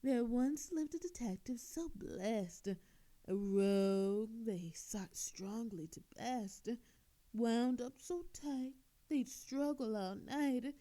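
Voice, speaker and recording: Woman acting a high, slow, flirtatious lady thief. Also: lively intonation, mellow. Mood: teasing recital. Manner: teasing, theatrical, sultry drawl. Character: obnoxiously flirtatious cocky female thief, teasing. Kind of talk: flirtatious audio roleplay drama.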